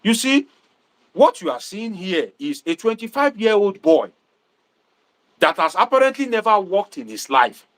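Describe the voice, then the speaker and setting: A Nigerian man hosting a livestream, talking to viewers in a preachy, animated way and dropping Pidgin asides. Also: fairly high pitch. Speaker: livestream host and political commentator.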